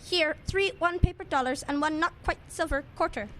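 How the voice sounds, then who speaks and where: A woman's voice: very high voice, normal speed, a bit bright, slight background noise. A woman playing an odd, polite male stranger who may be an alien, speaking in stilted formal phrases in a radio drama. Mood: stiffly polite.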